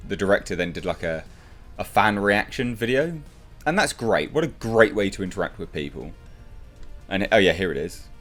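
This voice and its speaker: A man with a low voice delivers casual film commentary as an online reviewer. His pitch moves widely as he stresses and repeats his points with enthusiasm. Faint music plays behind him. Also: fairly bright tone. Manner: enthusiastic, emphatic, repeats phrases for emphasis. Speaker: YouTube film news reviewer. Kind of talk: casual movie news commentary.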